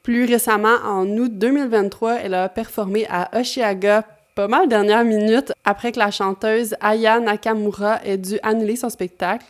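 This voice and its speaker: A woman's voice: medium pitch, steady tone, moderate pace. A young woman from Québec with a Québécois accent, hosting a chatty video with frequent fillers. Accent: Québécois French. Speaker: YouTube host. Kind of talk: talking-to-camera commentary.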